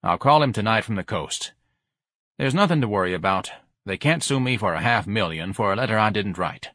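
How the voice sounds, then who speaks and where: A man's voice: fairly low pitch, expressive, brisk, pushed and bright, dry recording. A man voicing an irritable, sarcastic businessman husband in an audiobook, dry and dismissive. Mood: weary, dismissive.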